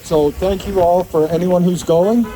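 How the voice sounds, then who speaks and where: A man's voice: high voice, fairly even intonation, a little slow, mellow, low-quality recording. A man giving a brief, informal rally speech at an open mic.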